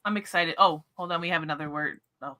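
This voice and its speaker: A woman hosting a casual book discussion livestream, chatting in an animated way. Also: sits low, quick pace.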